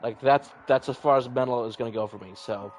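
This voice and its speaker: A man's voice: medium pitch, brisk, a little mellow, muffled recording, slight background noise. A man reading a visual novel aloud in an informal, chatty playthrough style, rambling a little. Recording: music playing behind the voice. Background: background music.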